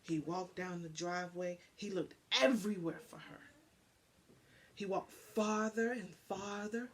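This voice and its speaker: A woman narrating a children's storybook aloud in an expressive style. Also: lowish voice, measured pace. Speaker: storybook reader.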